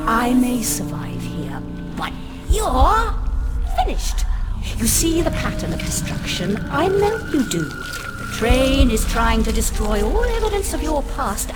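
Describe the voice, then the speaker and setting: An imperious, cryptic woman in a video game. Her delivery is slow and scornful, fairly high and full of swoops, with loud music behind.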